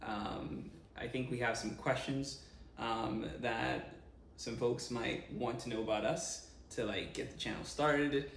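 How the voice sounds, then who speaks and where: A man's voice: medium pitch, steady tone, takes it slowly. A man from Jamaica chatting casually and teasingly as a vlog co-host, asking questions. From Jamaica (Montego Bay). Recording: roomy echo, clear background noise.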